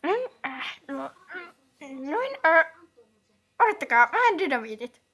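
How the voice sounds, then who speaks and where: A woman voicing a frazzled, hot-tempered young guardian in a comedy cartoon dub, excitable and blustering. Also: high voice, heavily acted. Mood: flustered, evasive. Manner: excitable, blustering, foul-mouthed.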